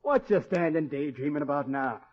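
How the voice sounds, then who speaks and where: High, husky, bossy head waiter. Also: male voice, animated, fairly quick. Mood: teasing, scolding. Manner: folksy, colloquial, needling. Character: bossy, teasing, good-hearted hotel head waiter. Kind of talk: old-time radio drama acting. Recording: muffled vintage radio recording.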